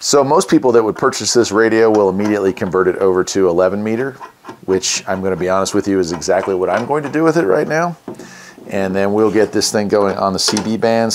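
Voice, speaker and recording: A man's voice: fairly low pitch, brisk, noisy background. A man hosting a casual product walkthrough, easygoing and thinking aloud as he goes. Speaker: radio reviewer, channel host.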